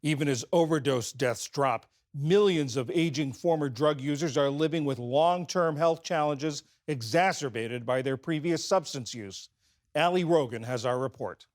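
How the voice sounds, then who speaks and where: Formal male news anchor, higher voice. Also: normal speed, darkish tone. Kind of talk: scripted news introduction.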